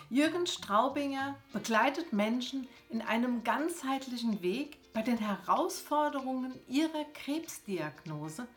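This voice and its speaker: Slow-paced woman podcast host, higher voice, roomy. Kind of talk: scripted intro and conversational interviewing.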